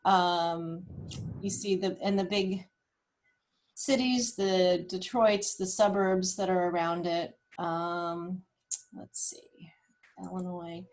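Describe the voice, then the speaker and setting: Woman, lowish and unhurried, informal explainer on a group call. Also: fairly even intonation, a little mellow. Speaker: nurse presenting health data.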